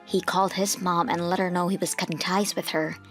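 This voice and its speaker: Casting: female narrator, lowish pitch, scripted first-person comic storytelling, music underneath. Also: steady tone, fairly quick. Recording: music behind the voice.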